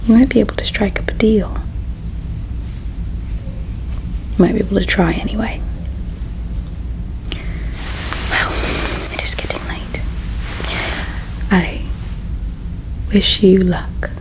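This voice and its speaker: A woman voicing a gentle, melancholy alien palace servant in an ASMR roleplay, speaking in a hushed, halting, confiding way. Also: mid-range voice, vocal fry, poor audio. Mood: earnest, fond farewell. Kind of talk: ASMR roleplay, soft whispering.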